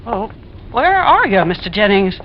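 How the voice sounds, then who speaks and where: A muffled old radio thriller features an actress as a forthright, folksy widowed landlady. Her mid-pitched voice moves up and down widely, stubborn and wary, with an uneasy edge. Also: sing-song, moderate pace, close to an everyday voice. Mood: uneasy, frightened. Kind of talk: old-time radio suspense acting. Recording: muffled, noisy vintage radio recording.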